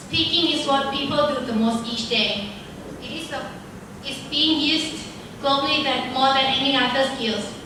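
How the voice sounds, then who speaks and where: A teenage girl with a Thai accent, her first language Thai, giving a careful, formal, recited speech at a student speaking contest. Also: high-pitched. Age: teens. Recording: echoey hall from far off, noisy.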